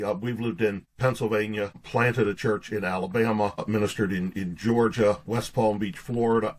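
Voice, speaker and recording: A man's voice: on the low side, low-quality recording. A man in his late sixties, a pastor and guest, chatting and telling stories in an interview, often repeating words. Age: 60s. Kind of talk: casual storytelling interview talk.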